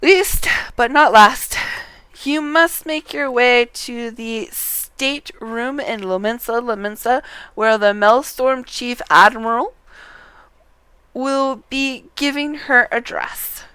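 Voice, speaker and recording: A woman reads out a polite, chatty quest-giver from a fantasy game. The voice is clean and smooth, with slow, careful pacing. The phrasing is formal and a little fussy. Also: fairly high pitch, pushed and bright, no echo, rough recording. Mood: brisk, instructive. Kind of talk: reading game dialogue aloud.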